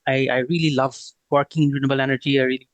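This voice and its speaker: A man's voice: medium pitch, little pitch movement, very fast, no echo, dull audio. A man in his thirties from Bangladesh, a guest talking casually in an interview, with many fillers and restarts. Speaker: guest, renewable energy consultant.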